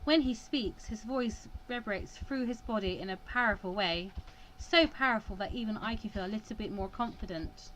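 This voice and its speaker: A woman's voice: medium pitch, normal speed, fairly bright tone, a little hiss or hum, rough recording. A woman playing a visual novel, reading it aloud expressively with casual reactions and the odd stumble over words.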